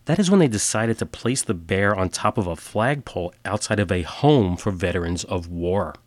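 A man with a very low voice tells strange true stories in a steady, matter-of-fact way. He sounds a little stuffy and nasal from a cold. The recording is close and dry, with no room sound. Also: no room sound. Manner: plain matter-of-fact delivery with filler uhs in sign-off. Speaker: podcast host. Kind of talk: scripted storytelling narration.

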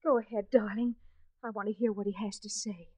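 A woman's voice: mid-range voice, fairly even intonation, a little fast, darkish tone, breathy edge, no echo, close to an everyday voice. An actress in 1940s radio style playing an earnest, anxious young woman in love, with melodramatic delivery. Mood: wary. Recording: vintage 1940s radio recording.

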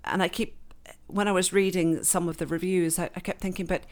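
A woman from England, raised in Switzerland, with an English accent, chatting warmly and laughing often as a novelist being interviewed. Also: on the low side, fairly even intonation, brisk, slight background noise. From born in England, grew up in Switzerland. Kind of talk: casual interview chat.

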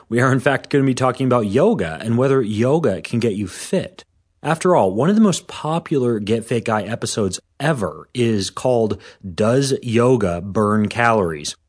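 A man hosting a fitness podcast, a little hoarse, explaining things in an informal scripted way. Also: mid-range voice, lively intonation, normal speed. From Washington State.

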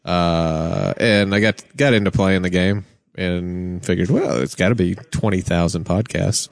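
Deep, halting man, guest on a live convention podcast. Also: creaky, no room sound, clean studio sound. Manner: halting, stammering restarts. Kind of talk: casual chat. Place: convention hall.

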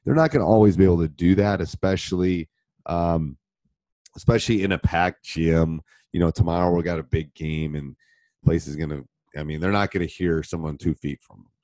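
A middle-aged man, a basketball coach, answering listener questions in a rambling, casual way, his voice roughened by a cold. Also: low-pitched, mellow, no room sound. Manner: rambling, conversational, with many fillers and restarts. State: voice deeper than normal from a cold. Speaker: basketball coach and podcast host.

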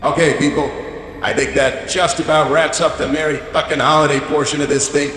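A foul-mouthed, blustering corporate chief, played by a man, rambling and snapping with irritation. His voice rings out in a big echoing hall with a long tail of reverb. Music plays softly behind him. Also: on the high side, flat delivery, fairly quick, close to an everyday voice. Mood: pompous. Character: crude, blustering male corporate CEO. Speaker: corporate CEO giving holiday address.

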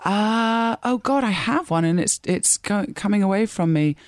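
A woman's voice: mid-range voice, animated, moderate pace, a little mellow, no room sound. A woman from Scotland with a British accent, chatting playfully as a guest in a radio studio, joking and laughing easily. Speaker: guest actress. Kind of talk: casual interview chat. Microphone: studio microphone.

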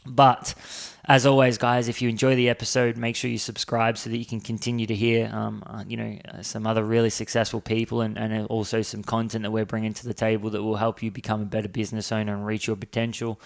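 Hoarse, gravelly male podcast host. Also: on the low side, monotone, fast. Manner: rambling, many fillers and restarts. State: hoarse, sore throat. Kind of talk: solo podcast episode introduction.

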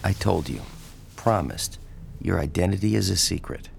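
An actor playing a smooth-talking, pushy but reassuring male journalist in an audio drama, casual and persuasive. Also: deep voice, lively intonation, moderate pace, noisy background, full character voice. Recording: music under the dialogue.

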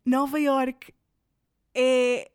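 A woman's voice: high-pitched, little pitch movement, extremely slow, clean tone, no room sound, clean studio sound. A woman with a European Portuguese accent, hosting a podcast and chatting casually, rambling with lots of fillers and English words. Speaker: podcast host. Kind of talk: casual chat recommending videos.

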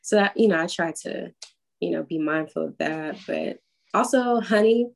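A woman singer answering interview questions in a relaxed, chatty way, laughing easily and using lots of fillers. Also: on the low side, normal speed, gravelly. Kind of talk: casual interview chat. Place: Atlanta.

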